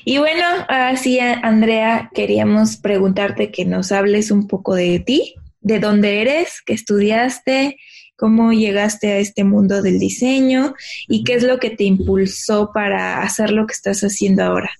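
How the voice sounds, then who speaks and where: A woman's voice: medium pitch, a little slow, gravelly, a little mellow. A woman co-hosting a podcast and asking questions in a casual, conversational way. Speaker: podcast co-host.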